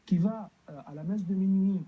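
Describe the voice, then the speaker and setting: A man's voice: sits high, normal speed, slightly rough, phone-quality sound. A man from Egypt, a guest answering interview questions hesitantly, with many fillers and restarts.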